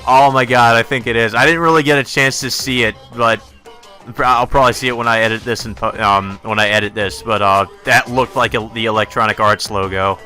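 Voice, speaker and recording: Casting: male let's play host, bright forceful voice, offhand and sweary, casual delivery over background music. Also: mid-range voice, fairly even intonation, a little fast. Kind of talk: casual gaming commentary reading a visual novel aloud. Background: faint game music.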